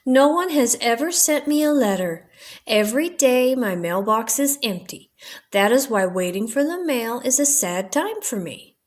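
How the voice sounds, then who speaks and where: A woman's voice: on the high side, expressive, measured pace. A woman voicing a gloomy, grumbling male toad in a children's storybook, plaintive and stubborn. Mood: sad. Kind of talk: children's storybook read-aloud.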